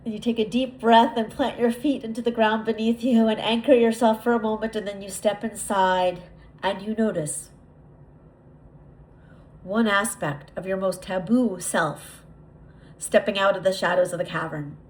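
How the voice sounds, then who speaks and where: A woman leading a guided visualization, speaking to the listener in a deliberate, repetitive way with lingering pauses. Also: mid-range voice, fairly even intonation, low-quality recording. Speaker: guided meditation leader.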